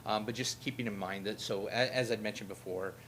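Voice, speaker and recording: Low-voiced man explaining figures steadily. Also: fairly quick. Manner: steady, explanatory, calls own voice droning. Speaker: auditor presenting financial statements. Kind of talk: presenting to a town council. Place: council meeting room. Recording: meeting recording with loud background rumble.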